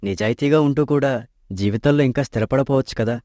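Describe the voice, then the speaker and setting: A man's voice: medium pitch, fairly quick, mellow, dry recording, clean studio sound, close to an everyday voice. An actor playing an honest, poor young man in love in a storybook tale, earnest and troubled.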